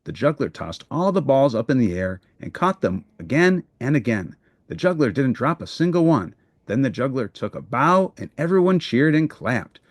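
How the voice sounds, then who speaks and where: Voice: mid-range voice, sing-song, normal speed, dry recording. A storybook narrator reading a children's book aloud in a scripted narration style.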